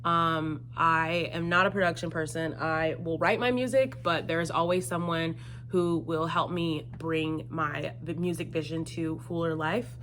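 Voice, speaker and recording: A woman in her twenties with a low-pitched voice talks casually to her viewers. She answers questions as a singer-songwriter, explaining things conversationally. A slight hum of background noise sits behind her voice. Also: steady tone, unhurried, fairly bright tone. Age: 20s. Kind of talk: casual solo Q&A chat to camera.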